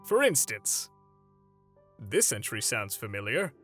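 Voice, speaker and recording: A man playing an older superhero mentor in a comic dub, paternal and gently stern, with a theatrical, measured delivery. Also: on the low side, sing-song, faint music behind, exaggerated voice. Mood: probing. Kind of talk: dramatic comic dub voice acting.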